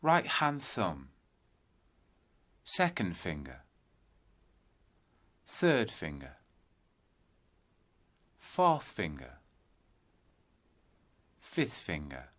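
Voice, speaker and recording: A man guiding a yoga nidra meditation in calm, deliberate, instructional phrases with space between them. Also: low-pitched. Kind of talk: guided meditation narration.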